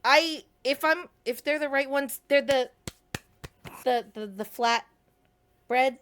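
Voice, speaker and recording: Podcast lady, kinda high voice, asks a ton of questions, trails off. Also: slow pace, a bit bright, a little hiss or hum. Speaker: podcast co-host. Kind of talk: casual chat.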